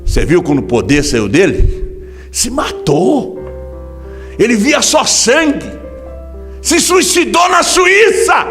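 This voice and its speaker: High, lively Brazilian preacher in a hall. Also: male voice, takes it slowly, long pauses, faint music behind. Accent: Brazilian Portuguese. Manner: impassioned preaching with sudden shouts and hushed drops. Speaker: pastor. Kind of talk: sermon. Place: large church hall.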